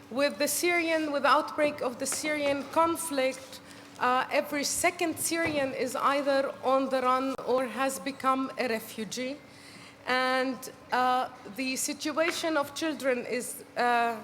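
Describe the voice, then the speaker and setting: High-voiced woman moderating a panel. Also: fairly bright tone, slight background noise. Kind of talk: formal conference moderation. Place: conference hall. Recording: reverberant hall with faint ambience.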